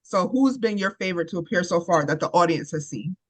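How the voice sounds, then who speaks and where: An eager, chatty interviewer running a casual talk with a guest. The voice sits at an ordinary middle pitch and often breaks into laughter. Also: expressive, fast, poor audio. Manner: enthusiastic fan, chatty with frequent laughs.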